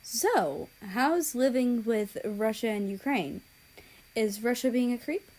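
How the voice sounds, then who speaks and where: A woman's voice: fairly high pitch, lively intonation, takes it slowly, a little mellow, pitched above the performer's own voice. A woman voicing a scornful teenage boy in an audiobook story, with a sneering, dismissive manner. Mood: skeptical.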